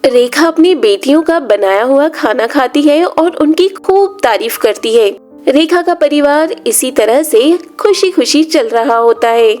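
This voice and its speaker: A woman's voice: high-pitched, expressive, unhurried, a little mellow. A woman narrating a scripted children's story as a storyteller. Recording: music under the voice.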